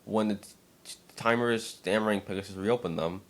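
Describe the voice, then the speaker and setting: A man with a fairly low voice reads a fan-fiction tale. He narrates in an expressive, dramatic storytelling manner, occasionally tripping over his words. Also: brisk.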